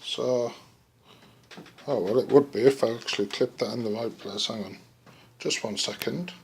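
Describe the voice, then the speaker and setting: A man casually narrating a hands-on project as he works, halting and thinking aloud, recorded indoors on a handheld camera. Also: mid-range voice, gravelly, a little mellow, slight background noise. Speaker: DIY video host. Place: room indoors. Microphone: handheld camera mic.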